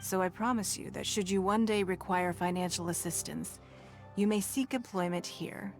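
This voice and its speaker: Shrewd, stately female tycoon for a game, acted by a woman: lowish pitch, measured, formal, quietly commanding. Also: faint music behind, close to an everyday voice. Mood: shrewd, assured. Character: poised, wealthy businesswoman leader, composed, shrewd and formal. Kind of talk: video game voice acting.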